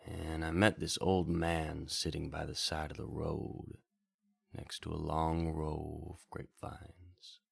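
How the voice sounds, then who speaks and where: Very deep, gravelly male storyteller. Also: little pitch movement, no echo. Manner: measured, reflective, deliberate phrasing. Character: well-travelled wandering bard recalling the road. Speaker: host of a radio hour, speaking in character as a wandering bard. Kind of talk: slow scripted storytelling narration, radio drama.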